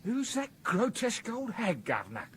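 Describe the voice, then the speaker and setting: High, excitable manservant. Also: male voice, moderate pace, slight background noise, heavily acted. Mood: excitable, alarmed. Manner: blunt, deferential, excitable. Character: excitable, cheeky manservant to a gentleman sleuth. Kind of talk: radio drama acting.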